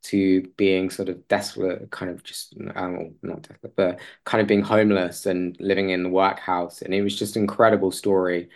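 A man speaking as an interview guest in a conversational way, hesitant and halting, often rephrasing as he goes. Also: low voice, little pitch movement, a little mellow.

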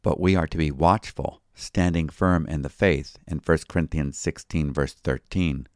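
A man reading a scripted devotional commentary as a pastor, in a measured, formal way. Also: deep voice, dry recording, studio quality. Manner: measured, formal, citing chapter and verse.

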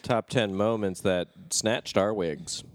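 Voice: deep voice, slow, dry recording, studio quality. A young podcast co-host in casual chat, joking wryly with playful asides.

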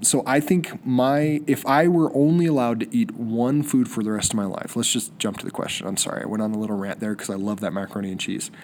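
A man's voice: medium pitch, darkish tone, slight background noise. Sniffly young man rambling on a podcast. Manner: rambling, enthusiastic, many restarts. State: sniffly with a cold. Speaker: podcast host. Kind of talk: solo casual podcast monologue.

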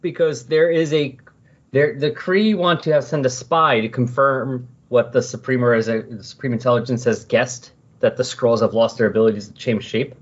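Husky man in casual podcast chat. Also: mid-range voice, steady tone, normal speed. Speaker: podcast co-host discussing comics. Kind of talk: casual, rambling comics discussion. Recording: dull lo-fi voice recording.

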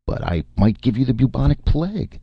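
A man's voice: sits low, sing-song, moderate pace, creaky, husky, dry recording, lo-fi sound, pitched above the performer's own voice, exaggerated voice. A man voicing a cowardly, easily panicked man in a story, with hesitant, stammering delivery and shaky bravado. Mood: nervous, hesitant.

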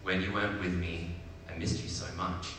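A man's voice: on the low side, expressive, unhurried. A man voicing a small, hurt young rabbit from a storybook, anxious, needy and plaintive in simple speech. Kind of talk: storybook read aloud. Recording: echoey hall, distant mic, faint noise.

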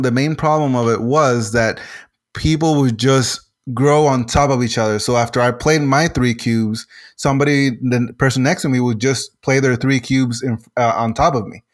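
A man from Puerto Rico, a native Spanish speaker, answering interview questions as a game designer with many restarts and fillers. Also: medium pitch.

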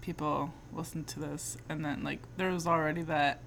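A guest speaker chatting hesitantly on a podcast, trailing off softly. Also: medium pitch, fairly even intonation, moderate pace, gravelly, slight background noise. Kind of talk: casual podcast chat.

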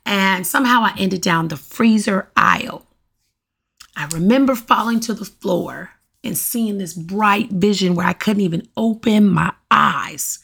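A middle-aged woman hosts her own podcast, speaking straight to her listeners in a motivational monologue. Her voice has a gravelly, raspy edge, and she drives her points home by repeating questions with emphasis. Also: mid-range voice, measured pace, fairly bright tone. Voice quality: raspy at times. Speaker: podcast host.

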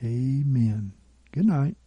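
A man's voice: medium pitch, animated, takes it slowly, muffled recording. A man preaching and praying informally as a Bible teacher, earnest and plain-spoken. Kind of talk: informal sermon and prayer.

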